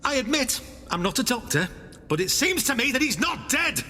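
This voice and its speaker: A man's voice: very high-pitched, big pitch swings, normal speed, pushed and bright, exaggerated voice. An actor playing a breezy, officious man in an audio drama. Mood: breezy, apologetic. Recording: reverberant hall, faint ambient noise.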